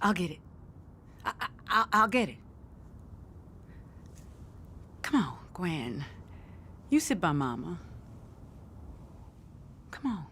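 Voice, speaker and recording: An anxious American mother in her middle years, in a radio play with some background noise. Her pitch moves widely as she pleads, hesitates and tries to placate her family. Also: sing-song, a little slow, pauses often, pushed and bright, heavily acted. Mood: timid, coaxing. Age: middle-aged. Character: anxious, placating mother, timid peacemaker between relatives. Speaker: actress. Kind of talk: naturalistic audio drama acting.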